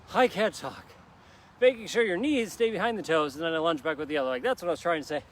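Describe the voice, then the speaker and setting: A man's voice: a little high, fast, slight background noise. A man leading a live workout in a park, coaching upbeat and encouraging while doing the moves himself and joking about his own slip-ups. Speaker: fitness instructor. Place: outdoor park.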